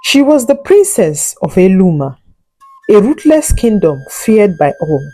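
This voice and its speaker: A woman narrating a scripted folk tale in a solemn, deliberate and dramatic storytelling manner. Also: mid-range voice, sing-song, mellow, soft background music.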